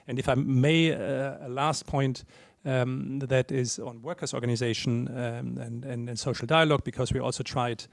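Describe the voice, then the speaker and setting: A man, an official speaking on a policy panel in a measured, formal way, pausing often on fillers. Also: medium pitch, fairly even intonation, creaky, no room sound. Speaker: European Commission official. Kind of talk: panel talk.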